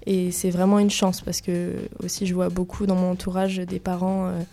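A young woman dancer answering personal questions, hesitant and pausing often. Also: on the low side, completely flat delivery, dark tone. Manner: hesitant, many pauses. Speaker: cabaret dancer guest.

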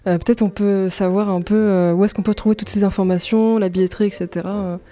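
Female radio host, casual and halting, soft dark tone, slightly low and level. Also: steady tone. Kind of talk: casual live interview.

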